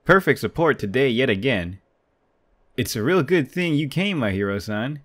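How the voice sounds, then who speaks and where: A man's voice: mid-range voice, moderate pace. A man voicing an eager, fawning male online game guildmate in a manga dub. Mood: friendly, grateful. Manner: excitable, fawning.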